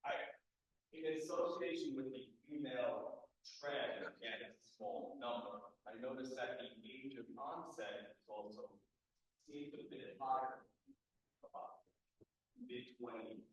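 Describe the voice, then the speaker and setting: A man asking a question in a clinical discussion who says he has a loud voice, speaking haltingly. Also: mid-range voice, distant mic, highs cut off. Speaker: audience questioner. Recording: very echoey room.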